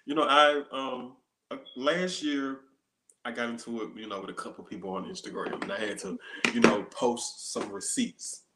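A man in his thirties speaks in a medium-pitched voice in a roomy, echoing space. He is a singer-songwriter guest, talking casually and explaining his work. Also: fairly even intonation, normal speed, background noise. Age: 30s. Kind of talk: casual interview chat, explaining songs. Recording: roomy, echoey sound.

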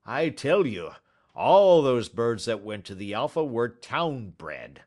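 A man's voice: mid-range voice, big pitch swings, takes it slowly, pitched above the performer's own voice. A man doing a blustering market salesman. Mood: combative. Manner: loud, combative, blustering. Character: belligerent gruff male poultry salesman.

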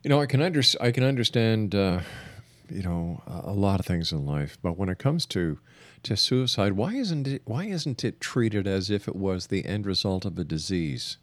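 A male radio host with a slightly low voice puts questions in an interview. He speaks haltingly, repeating words as he builds each question, and the sound is dry and close. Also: sing-song, no room sound.

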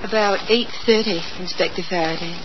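An actress playing a polite, composed burglary victim in 1940s radio drama style, speaking precisely. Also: female voice, mid-range voice, a little fast, natural voice. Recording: muffled old radio recording, faint noise.

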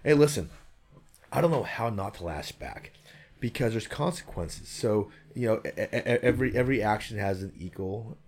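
A man's voice: fairly low pitch, expressive, moderate pace, a little mellow. A man telling stories and arguing his views in casual chat, blunt, profane and emphatic.

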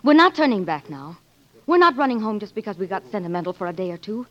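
A woman's voice: mid-range voice, sing-song, fast talker, a bit bright. An actress playing an ardent American career woman in love, with emotional, theatrical delivery in a 1940s radio drama. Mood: agitated, anguished. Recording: muffled 1940s radio broadcast, orchestral music.

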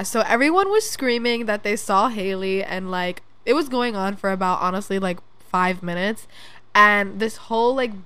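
A woman's voice: mid-range voice, fairly bright tone, smooth. A young woman hosting a casual solo podcast, chatting loosely with lots of "like" and swearing, her voice congested from allergies. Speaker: podcast host. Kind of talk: casual chat.

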